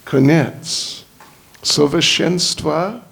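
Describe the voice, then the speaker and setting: A man with an English accent, a native English speaker, gives a lecture in slow, halting Russian, often searching for words. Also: on the high side, gravelly, fairly bright tone. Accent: English-speaking, speaking Russian as a learner. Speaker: visiting spiritual teacher giving a lecture. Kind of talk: slow lecture with verse chanting. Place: lecture hall.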